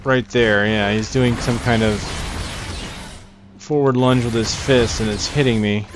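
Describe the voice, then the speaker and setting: Man, casual gaming commentary, thinks aloud, mid-pitched voice, clear music behind. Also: fairly even intonation, unhurried. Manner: thinks aloud while playing. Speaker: gameplay video host. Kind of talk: casual video game commentary. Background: game music behind the voice.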